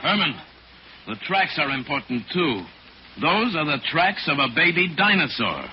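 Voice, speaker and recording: An actor playing a composed, educated gentleman sleuth, polite and authoritative, in 1940s radio style. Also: on the high side, very animated, moderate pace. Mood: grave, commanding. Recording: noisy, dull 1940s radio recording.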